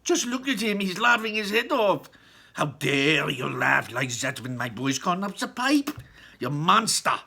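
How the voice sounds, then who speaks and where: A storybook mother, acted out by a man, frantic and indignant about her boy. The voice is pushed very high and swoops wildly. She screams and snaps in loud, forceful outrage. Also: very animated, a little slow, vocal fry, slightly rough, exaggerated voice. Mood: outraged.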